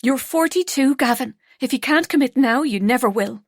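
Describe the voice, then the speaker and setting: A woman's voice: high-pitched, animated, normal speed, dry recording, clean studio sound, voice pushed up. A woman reading an audiobook, voicing a heartbroken young woman who turns tearful and bitter. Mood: hurt. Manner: tearful, pleading then cold and clipped.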